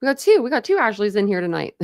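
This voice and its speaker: A woman's voice: on the high side, very animated, a little mellow, dry recording, clean studio sound. A woman hosting a casual true crime livestream in a rambling, chatty way, answering viewers. She has a mixed Midwest and Southern American accent. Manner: chatty, rambling, quick asides with laughs. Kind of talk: casual livestream chat reading comments.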